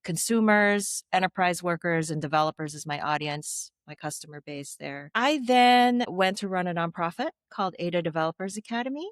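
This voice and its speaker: A woman's voice: fairly low pitch, moderate pace, hardly any pauses, no echo, studio quality. A middle-aged woman from the Philippines, a former tech executive giving articulate, reflective interview answers with the odd light laugh.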